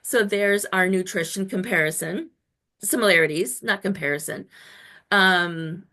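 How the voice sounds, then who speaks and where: An enthusiastic woman in her fifties hosts a conversational podcast. Her voice sits at an average pitch. She talks informally and effusively, addressing guest and listeners like friends. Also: a little slow, sharp tone. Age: 50s. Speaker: show host and health coach. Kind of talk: chatty podcast interview hosting.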